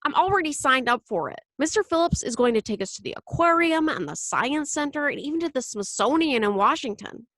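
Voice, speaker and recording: A woman acts out a stubborn ten-year-old boy in a storybook. The voice is pitched up and lively, with a plaintive, protesting edge. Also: fairly quick, hardly any pauses, dry recording. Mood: upset.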